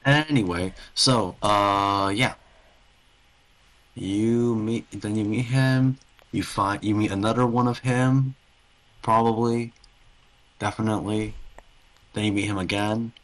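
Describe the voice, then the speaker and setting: Lowish-voiced man chatting casually on podcast. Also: slow, long pauses, fairly bright tone. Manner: loose, self-correcting, piecemeal phrasing. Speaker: podcast co-host. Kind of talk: casual, unscripted gaming podcast chat.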